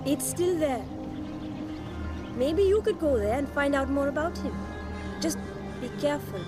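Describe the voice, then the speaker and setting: A woman's voice: sits high, lively intonation, a little slow. A woman playing a concerned, earnest ally in video game dialogue. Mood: worried. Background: loud music.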